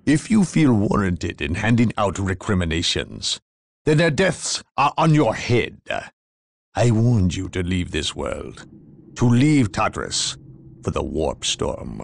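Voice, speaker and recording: Game inquisitor: a haughty, zealous evil-hunter, theatrical and expressive, measured gravity. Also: male voice, lowish voice, creaky, no room sound, clean studio sound, full character voice. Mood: haughty, reproachful. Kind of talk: dramatic video game cutscene acting.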